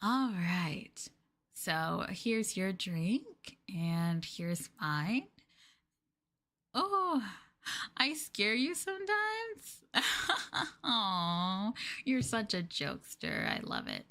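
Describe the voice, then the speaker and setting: Woman acting as slow-talking, sweetly possessive yandere girlfriend. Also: medium pitch, wildly swooping pitch, gravelly, sharp tone, exaggerated voice. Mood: coaxing, affectionate. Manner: cooing, coaxing, with murmurs and giggles. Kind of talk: ASMR girlfriend roleplay.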